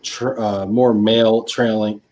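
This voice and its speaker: A man's voice: lowish voice, level tone, unhurried, dark tone, a little hiss or hum. A man hosting a live online panel, reading audience questions and laughing at his own slips over a video call. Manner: stumbling, self-deprecating, laughs at himself. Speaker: host.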